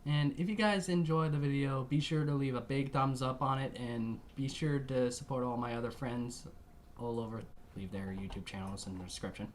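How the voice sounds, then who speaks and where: A woman hosting a reaction video with casual, expressive commentary and theatrical exclamations. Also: extremely low pitch, normal speed, a little hiss or hum.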